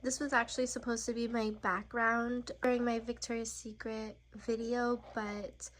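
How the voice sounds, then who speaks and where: A woman's voice: fairly high pitch, little pitch movement, normal speed, a bit bright. A woman vlogger chatting casually and informally to camera in a rambling way, slightly nasal and congested from a cold. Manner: informal, rambling, girly slang. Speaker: lifestyle vlogger. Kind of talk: casual chat to camera, room tour.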